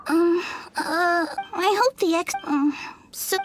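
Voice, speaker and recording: A woman's voice: very high voice, slow pace, noisy background. A woman voicing a timid, tearful little ghost child in a video game, stammering and pleading hesitantly. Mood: nervous, hesitant.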